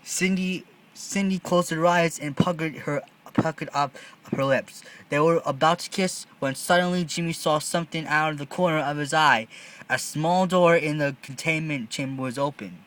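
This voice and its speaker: A man's voice: on the high side, normal speed, fairly bright tone, rough recording. A man narrating a storybook read-along, often stumbling and repeating phrases as he reads.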